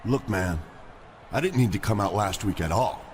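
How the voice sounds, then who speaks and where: A cocky wrestler brushes off his rival with sarcasm, voiced by a man. His voice is low and steady. Noise sits behind him. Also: normal speed, close to an everyday voice. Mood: dismissive. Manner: sarcastic, dismissive.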